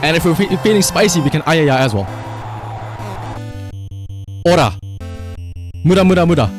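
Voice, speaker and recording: A man's voice: on the high side, expressive, normal speed, soft background music. A man doing casual live game-stream commentary, swearing freely and repeating short command words.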